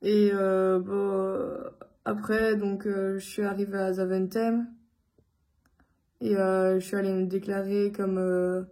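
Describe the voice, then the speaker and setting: A woman from Belgium giving a casual personal account to her followers, speaking in a halting way with many filled pauses. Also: medium pitch, flat delivery, dark tone. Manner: hesitant, halting delivery with frequent long filled pauses. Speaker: vlogger addressing followers.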